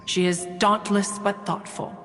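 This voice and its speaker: A woman's voice: mid-range voice, level tone, measured pace, fairly bright tone, faint music behind, close to an everyday voice. A woman playing a proud, mournful, ancient immortal in a video game, telling stories in formal, archaic, stately speech. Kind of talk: scripted game storytelling.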